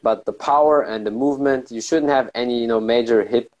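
A man's voice: medium pitch, a little fast, darkish tone, low-quality recording. A man hosting a podcast interview, eager and full of compliments for his guest. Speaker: podcast host. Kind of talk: casual interview.

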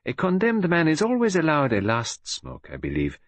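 A man voicing a calm, precise detective who speaks quietly and formally. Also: a little high, moderate pace, dry recording, voice pushed up.

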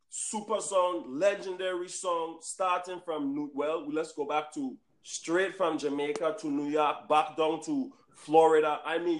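Excited guy hosting a podcast, hyping up his guest, voice on the high side. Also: poor audio. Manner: hyped, excitable interjections. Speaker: podcast host. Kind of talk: casual interview.

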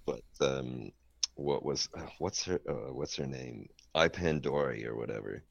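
A man with a deep voice in a casual podcast chat, telling stories in an animated, sweary way. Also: a little slow, gravelly, breathy edge, dry recording. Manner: animated storytelling, swearing freely. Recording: dull, muffled lo-fi sound.

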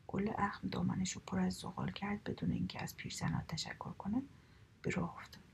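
Woman storyteller whispering a fairy tale, slow and soft, with a gravelly edge. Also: mid-range voice, little pitch movement.

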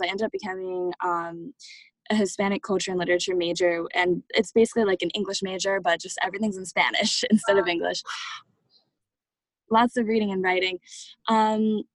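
A woman's voice: medium pitch, normal speed, dry recording, low-quality recording. Young woman chatting, lots of fillers. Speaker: guest. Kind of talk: casual interview answers.

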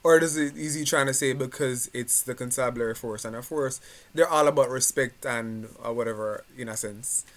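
A man co-hosting a podcast, animatedly retelling a news story with many pauses. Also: mid-range voice. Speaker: podcast co-host. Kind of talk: casual chat, recounting news.

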